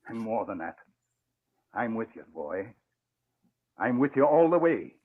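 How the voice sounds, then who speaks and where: A man's voice: on the low side, big pitch swings, unhurried. Impassioned old father, muffled vintage radio. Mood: proud, moved. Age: older. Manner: impassioned, dramatic delivery. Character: emotional proud old father, retired crime-fighting newspaper owner. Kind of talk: 1940s radio acting. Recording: muffled, narrow-band old radio recording.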